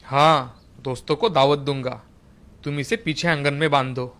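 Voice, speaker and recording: A man's voice: a little high, fairly even intonation, moderate pace, fairly bright tone. A man playing a hard-working, secretive farmer husband in a moral-story cartoon, with theatrical, exclaiming delivery. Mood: matter-of-fact. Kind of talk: exaggerated Hindi moral-story cartoon dialogue.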